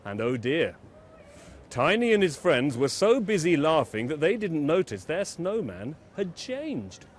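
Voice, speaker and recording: A man telling a children's bedtime story in an expressive, dramatic way, leaning on key words. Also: fairly high pitch, measured pace, a little hiss or hum.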